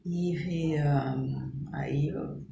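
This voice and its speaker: A middle-aged woman talks conversationally as an interview guest, explaining things with pauses to search for words. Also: low voice, steady tone, dark tone, dull audio. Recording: echoey room, clear background noise.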